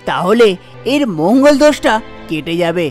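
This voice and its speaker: একজন পুরুষের অভিনয়ে চড়া গলার কার্টুনের পুরোহিত. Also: male voice, sits high, sing-song, normal speed, faint music behind. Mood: reassuring, explaining. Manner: exclamatory, explanatory, repeats himself. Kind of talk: Bengali cartoon story dialogue.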